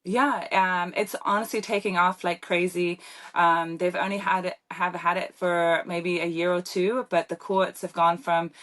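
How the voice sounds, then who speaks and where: A woman's voice: fairly low pitch, flat delivery, normal speed, a bit bright. Chatty woman with a British accent. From Germany. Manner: chatty, many fillers and restarts, laughs often. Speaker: guest, professional pickleball player. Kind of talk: casual interview chat.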